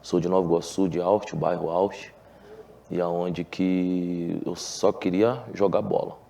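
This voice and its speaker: A low, gravelly man with a Carioca accent talks earnestly about his past. His voice is dark and mellow, and his speech is full of small restarts and filler tags. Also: steady tone, takes it slowly, a little hiss or hum. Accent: Carioca Portuguese. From Rio de Janeiro, Brazil. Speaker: former footballer, guest. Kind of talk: relaxed TV interview.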